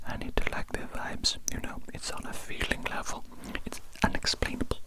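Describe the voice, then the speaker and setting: An ASMR performer voicing a gentle, doting alien doctor in a breathy, unvoiced whisper, soothingly repeating phrases to a patient. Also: natural voice, low-quality recording. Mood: soothing, affectionate. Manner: slow soothing repetition of phrases.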